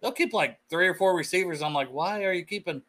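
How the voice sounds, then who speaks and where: A man's voice: high-pitched, fast, fairly bright tone. A man with a Southern US accent co-hosting a podcast, chatting loosely and laughing through banter. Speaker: co-host. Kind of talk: casual podcast chat.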